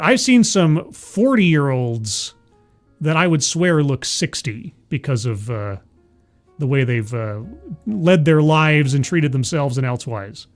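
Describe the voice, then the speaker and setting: A man in his forties hosting a livestream, chatting casually with viewers and laughing at himself. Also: medium pitch. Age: 40s. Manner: rambling, self-deprecating, laughs often. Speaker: livestream host. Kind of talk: casual chat with live chat.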